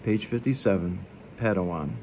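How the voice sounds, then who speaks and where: A man reads a children's novel aloud. His voice sits low and has a marked creak to it, in a plain storytelling style. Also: normal speed, darkish tone, a little hiss or hum.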